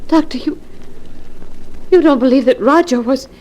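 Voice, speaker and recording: An actress playing an anxious, pleading wife in an old radio drama, dramatic and emotional, on a vintage recording. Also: female voice, high voice, normal speed, mellow, highs cut off, close to an everyday voice. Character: anxious, pleading wife telling of her haunted husband.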